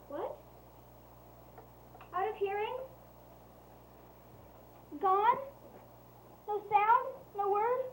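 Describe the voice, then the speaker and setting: A woman's voice: on the high side, a little mellow, far from the mic. A woman playing a modest, easily frightened young maiden in love, in dramatic, entreating Shakespearean verse. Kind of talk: Shakespearean verse stage acting. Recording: echoey room, faint background noise.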